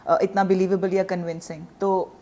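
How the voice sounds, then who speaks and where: A woman's voice: lowish voice, level tone, moderate pace, warm tone. A woman with a Pakistani accent interviewing guests in a conversational way, mixing in English.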